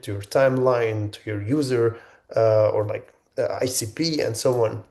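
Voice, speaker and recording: Halting man from Egypt, mellow voice. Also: medium pitch. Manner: halting, many restarts and fillers. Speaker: webinar host. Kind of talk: webinar presentation.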